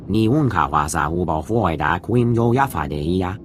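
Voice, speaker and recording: A man voicing a gruff alien who speaks a guttural invented tongue in a video game. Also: deep voice, lively intonation, brisk, slight background noise. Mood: stern. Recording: music behind the voice.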